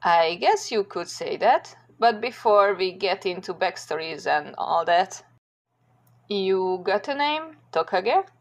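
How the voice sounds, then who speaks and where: A woman voicing characters in an amateur comic dub, soft and unhurried. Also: mid-range voice, animated.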